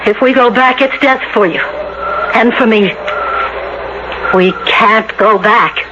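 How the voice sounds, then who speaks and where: Noisy dull radio recording, desperate young woman, pleading, drawn-out delivery, radio play. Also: a little high. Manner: urgent, pleading. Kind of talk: radio drama acting.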